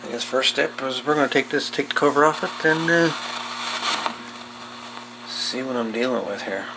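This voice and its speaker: A man giving a casual, folksy, hands-on repair walkthrough, talking in short, unhurried pieces. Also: medium pitch, animated. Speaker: host of a radio repair channel. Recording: loud background noise with clicks.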